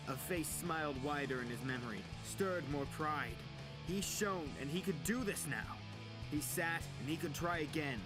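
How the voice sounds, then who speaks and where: A man's voice: on the high side, poor audio. Man narrating fiction over prominent guitar music. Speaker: audiobook narrator. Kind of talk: dramatic scripted fiction narration. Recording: music playing under the voice.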